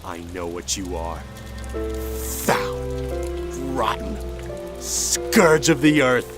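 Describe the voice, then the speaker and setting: An actor playing a grave, righteous vampire hunter in a radio drama, declaiming in a solemn, commanding way. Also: male voice, fairly low pitch, sing-song, extremely slow, over loud music, exaggerated voice. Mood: furious, accusing.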